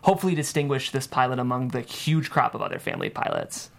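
A man's voice: medium pitch, wildly swooping pitch, quick pace, vocal fry. A man from the US Midwest with an American accent, a screenwriter speaking casually and reading script narration. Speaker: screenwriter reading stage directions.

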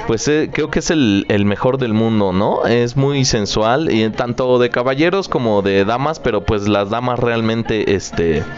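A man's voice: mid-range voice, unhurried, few pauses. A middle-aged man with a Mexican accent hosting a podcast, answering listener questions in an informal, joking, slangy way. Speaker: podcast host. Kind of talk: casual solo listener question-and-answer chat. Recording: dull lo-fi audio with music behind. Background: music.

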